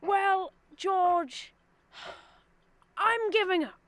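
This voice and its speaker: A woman's voice: very high voice, very slow, a bit bright, exaggerated voice. A teenage girl acting a scheming, smug rich businessman in a radio drama, persuasive and self-important. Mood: smug persuasion. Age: teens. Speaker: student radio drama actor.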